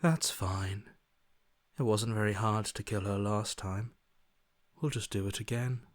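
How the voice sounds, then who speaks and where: A man voicing a cold, bored, callous inner voice in a video game, hushed and breathy, with deadpan, dismissive sarcasm. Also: on the low side, unhurried, no room sound, studio quality, natural voice. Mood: cold menace. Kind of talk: video game character acting.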